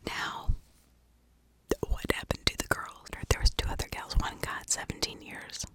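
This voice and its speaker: A woman whispering in an informal ASMR style, telling stories and giving her own opinions in a breathy, conversational way. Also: unhurried, fairly bright tone. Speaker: ASMR true crime storyteller, channel host.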